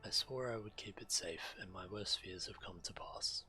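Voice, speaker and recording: A man's voice: lowish voice, moderate pace, pushed and bright, in a whisper. An actor playing a grave, guilt-ridden male guardian of a sacred grove in a fantasy game, speaking formally and solemnly. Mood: grave, remorseful. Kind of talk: fantasy video game voice acting. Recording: music behind the voice.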